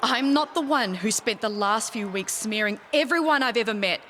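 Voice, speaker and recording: A woman's voice: a little high, lively intonation, normal speed, fairly bright tone, slight background noise. A woman playing a defiant, scheming young pro wrestler in a video game cutscene. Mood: indignant, sullen.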